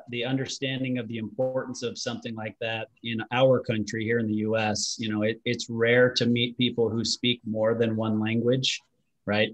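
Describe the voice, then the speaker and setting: A man in his forties who grew up in Utah, hosting a business interview over a video call, friendly and conversational, with plenty of "you know" fillers. His voice sits at an ordinary mid pitch. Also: low-quality recording. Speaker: podcast host. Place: home office.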